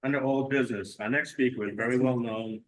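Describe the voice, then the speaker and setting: A man's voice: medium pitch, steady tone, fast talker, slight background noise, low-quality recording. A man chairing a village association meeting, formally thanking and introducing speakers. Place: library meeting room.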